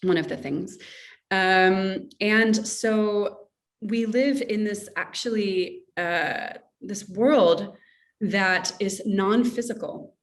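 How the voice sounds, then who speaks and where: A woman's voice: mid-range voice, very slow, distant mic. A woman author from Russia chatting effusively in an interview over a video call, rambling and circling back mid-sentence. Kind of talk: casual interview chat.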